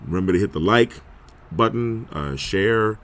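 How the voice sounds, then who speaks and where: A man with a very low, hoarse voice chats loosely on a live stream, rambling a little and clearing his throat often. His talk is relaxed and unhurried. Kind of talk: casual live stream chat.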